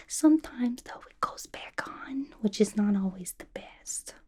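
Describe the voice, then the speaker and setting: A woman's voice: mid-range voice, expressive, unhurried, close to an everyday voice. A woman playing a manic, chatty, mischievous young female villain in an asylum, in a playful, slangy ASMR roleplay whisper. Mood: playful, teasing. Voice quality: breathy whisper. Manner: playful, slangy, conspiratorial gossiping.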